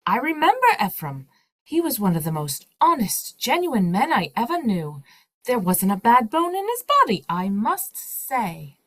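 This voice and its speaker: An actress playing a loyal, outspoken woman in an audio play, with a husky voice. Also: on the high side, big pitch swings, measured pace, fairly bright tone, exaggerated voice, poor audio. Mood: indignant, fond. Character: loyal, indignant woman fiercely defending a friend.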